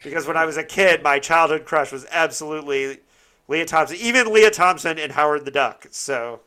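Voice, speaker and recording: A man's voice: on the high side, brisk, sharp tone, clear, resonant tone. A man hosting a casual discussion, rambling and correcting himself as he searches for words. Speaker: podcast host. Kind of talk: casual chat, TV show discussion.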